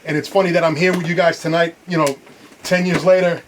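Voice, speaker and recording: A middle-aged man pitches his clothing line in a casual studio chat, his voice sitting a little high. He stumbles through restarts and leans on "you know" constantly. A faint hiss sits under the recording. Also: steady tone, fast talker. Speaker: streetwear brand founder. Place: recording studio control room.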